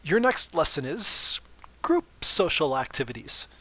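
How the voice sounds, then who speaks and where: A man's voice: on the high side, big pitch swings, a little slow, bright, forceful tone, slightly rough, pitched above the performer's own voice, exaggerated voice, low-quality recording. A man voicing an eager, bookish female pony teacher in an awkward, overbright and emphatic way. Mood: overeager.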